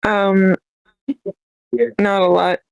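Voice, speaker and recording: A woman joins a podcast group over an online voice call and answers in a soft, hesitant way. Her speech is slow and gravelly with vocal fry, and the sound is close and dry. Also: medium pitch, level tone, no echo, muffled recording. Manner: soft-spoken, hesitant, with ums. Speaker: podcast participant. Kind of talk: casual chat on a call.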